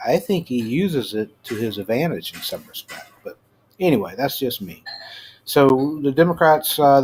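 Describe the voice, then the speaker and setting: A middle-aged American man giving casual, halting news commentary as a host, informal and prone to trailing off. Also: mid-range voice, darkish tone. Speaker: host of a personal rant show. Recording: clearly noisy amateur home recording.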